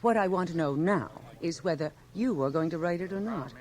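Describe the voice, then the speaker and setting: An actress playing an imperious, self-possessed society widow in a radio drama, commanding, clipped and condescending. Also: female voice, fairly low pitch, animated, fairly quick, darkish tone, natural voice. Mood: imperious, impatient.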